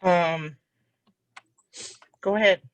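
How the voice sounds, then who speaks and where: A woman's voice: lowish voice, fairly even intonation, drawn-out words, muffled recording. A woman co-hosting a casual podcast, tossing in short teasing jokes. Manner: teasing, playful quips. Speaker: podcast co-host. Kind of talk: casual chat.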